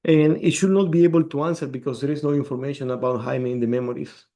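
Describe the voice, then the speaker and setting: A man walking through a software demo step by step on a screen share, often restarting his words. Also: mid-range voice, steady tone, quick pace. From El Salvador. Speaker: developer presenting a demo.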